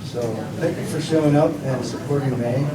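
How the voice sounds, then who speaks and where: A man running for state house speaks briefly and haltingly at a campaign rally. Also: fairly high pitch. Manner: halting, repeats words. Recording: distant mic in echoey room with loud background noise.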